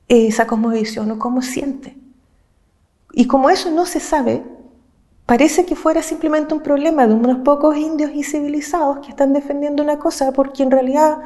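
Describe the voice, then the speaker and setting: A woman from Chile, an activist speaking earnestly and with passion, laying out her points in measured, listed phrases. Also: high voice, warm tone. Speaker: social movement activist. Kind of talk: reflective interview talk.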